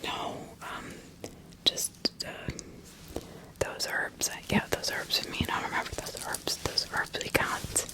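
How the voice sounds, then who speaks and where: A woman's voice: a little slow, close to an everyday voice. A woman playing a scared, flustered poor farmer accused of witchcraft, stammering and evasive in a whispered ASMR roleplay. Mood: scared, pleading. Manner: hesitant, stammering, evasive, pleading.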